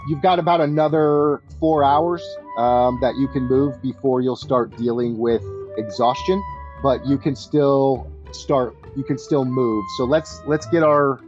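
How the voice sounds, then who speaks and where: A man running a tabletop roleplaying game as game master, casual and conversational, with some halting phrasing. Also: medium pitch, steady tone. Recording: dull, muffled audio with music behind. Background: background music.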